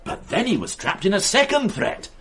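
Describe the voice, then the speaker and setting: An actor playing a dogged, excitable police detective in a radio mystery drama, reasoning aloud with emphatic insistence. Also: male voice, sits high, normal speed, slightly rough, heavily acted. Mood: excited, insistent. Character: dogged male police detective, excitable, sure of his theory. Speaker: investigator.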